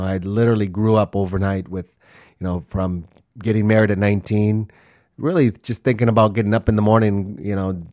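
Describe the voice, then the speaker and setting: A man's voice: lowish voice, darkish tone, no echo, clean studio sound. Earnest man in his forties hosting. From Honduras, raised in Minnesota. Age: 40s. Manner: earnest, rambling, many fillers and restarts. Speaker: podcast host. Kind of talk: casual solo podcast monologue.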